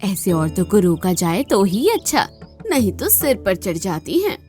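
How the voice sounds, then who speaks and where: Cartoon busybody, female actor: disdainful village woman, highly animated pitch, mocking delivery. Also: a little slow, soft background music. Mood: scornful. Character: scornful gossiping village woman. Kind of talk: cartoon moral story voice acting.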